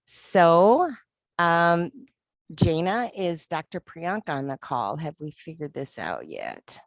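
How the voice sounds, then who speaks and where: Woman host, low voice, slow calm guiding, dry close sound, video call. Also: dry recording. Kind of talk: guided meditation and hosting.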